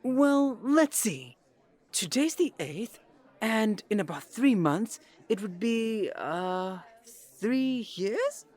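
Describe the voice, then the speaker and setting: A woman's voice: fairly high pitch, animated, takes it slowly, a little mellow. A woman playing a cheerful, guileless and slightly naive host in an audio drama. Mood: sweet. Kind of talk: animated audio drama acting.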